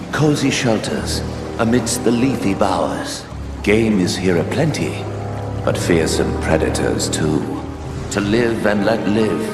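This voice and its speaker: A man's voice: low-pitched, exaggerated voice. A male actor playing a solemn storyteller narrating a fantasy legend in a video game. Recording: music under the voice. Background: music.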